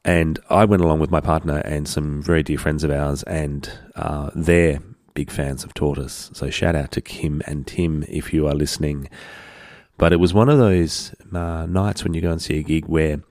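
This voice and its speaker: Very deep-voiced congested man. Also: moderate pace, dry recording, clean studio sound. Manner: relaxed, rambling, frequent uh and um. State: congested with a head cold. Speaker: radio host. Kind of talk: community radio music show chat. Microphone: studio broadcast mic.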